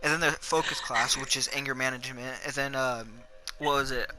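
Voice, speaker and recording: A man's voice: mid-range voice, steady tone, a little fast, pushed and bright. A young man chatting casually in a rambling, sweary storytelling way, as if to a friend while gaming. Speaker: gamer telling stories to a friend. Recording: noisy gaming voice chat with clicks.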